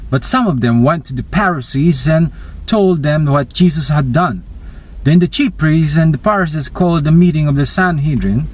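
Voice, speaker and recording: A man reading scripture as a dramatized narration, deliberate and expressive. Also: on the high side. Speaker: scripture reader.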